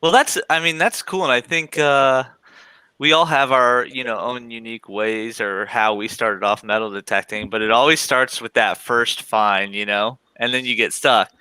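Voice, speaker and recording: A man with a Midwestern accent hosts the chat, upbeat and quick to laugh. His voice is bright and forward. The recording is dry and close, with no room around him. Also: medium pitch, fairly even intonation, clean tone, no room sound, poor audio. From Oklahoma. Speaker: podcast host. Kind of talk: casual interview chat.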